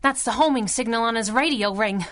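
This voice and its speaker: An actress playing a plucky young masked sidekick in a 1930s-style radio adventure, speaking in a spirited, slangy way. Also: female voice, on the high side, brisk, heavy background noise, natural voice. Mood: anxious, determined.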